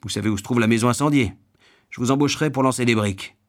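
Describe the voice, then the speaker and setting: A man voicing a gruff, self-righteous, well-off glutton in a storybook reading, scolding with loud emphasis. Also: medium pitch, fast, natural voice. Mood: curt challenge.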